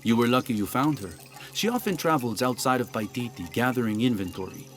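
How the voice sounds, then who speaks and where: A man's voice: mid-range voice, lively intonation, a little fast, natural voice. An actor playing a proud, roguish gambler in a video game, sly and philosophical as he teaches. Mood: sly, amused. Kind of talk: video game cutscene acting. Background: music.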